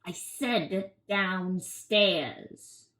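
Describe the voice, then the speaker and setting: A woman's voice: mid-range voice, extremely slow, a bit bright. Woman acting firm servant, distant and echoey. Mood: controlled, firm. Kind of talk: audio drama acting. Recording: echoey room, far from mic.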